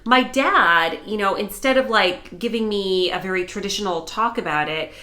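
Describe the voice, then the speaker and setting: A woman's voice: medium pitch, moderate pace. An American woman in middle age, talking earnestly and enthusiastically to camera in a personal vlog. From United States. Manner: earnest and enthusiastic, warm storytelling. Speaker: vlogger and news anchor.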